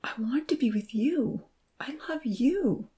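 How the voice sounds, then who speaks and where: A woman voicing an earnest young woman in love in a story, soft-spoken and pleading. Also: medium pitch, sing-song, moderate pace, voice pushed up, natural voice. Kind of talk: storybook character reading.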